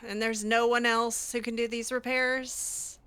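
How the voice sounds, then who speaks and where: Slow-paced, bright-voiced woman gamer. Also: on the high side, flat delivery, no echo. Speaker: gaming video host, role-player. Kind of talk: casual in-character gameplay commentary.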